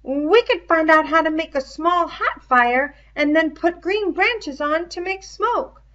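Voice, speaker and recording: A woman's voice: extremely high pitch, animated, measured pace, a bit bright, voice pushed up, exaggerated voice. A woman voicing an anxious, eager schoolboy in a storybook reading, with ungrammatical, pleading speech, on a dull lo-fi recording. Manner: ungrammatical, pleading tag questions.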